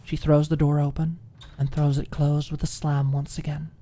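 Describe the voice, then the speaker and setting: A woman narrating an ASMR audiobook in a deliberate, expressive, breathy voice that often drops to a near whisper. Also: low-pitched, slight background noise.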